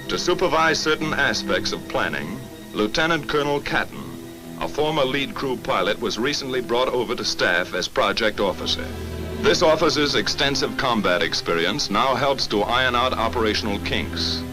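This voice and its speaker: A man's voice: mid-range voice, lively intonation, normal speed, low-quality recording. American man narrating a 1940s war documentary, music behind. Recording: vintage 1940s film soundtrack.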